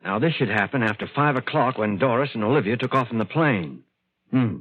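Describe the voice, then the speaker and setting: An actor playing a thoughtful, questioning schemer with a husky voice in a 1940s radio drama. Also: male voice, medium pitch, animated, fairly quick, natural voice. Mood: musing. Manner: musing, questioning. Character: thoughtful male schemer puzzling out a crime. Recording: dry, tinny 1940s radio recording.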